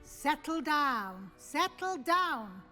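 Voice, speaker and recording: An older female professor in a fantasy video game, stern yet encouraging. Her high voice is formal and precise, with dry humour, over faint music. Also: very animated, drawn-out words, bright, forceful tone, full character voice. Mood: stern, dryly approving.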